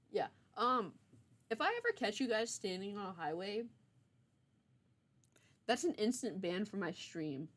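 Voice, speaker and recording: Playful young woman chatting on a livestream. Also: medium pitch. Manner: playful, teasing, mock-stern banter. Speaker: streamer, game writer. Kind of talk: casual livestream chat.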